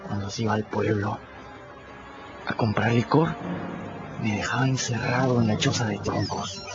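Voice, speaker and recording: An actor voicing a plucky, sly runaway boy in a radio drama, telling his story in the first person in a lively way. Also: on the low side, normal speed, close to an everyday voice. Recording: dull lo-fi radio recording. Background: clear music bed.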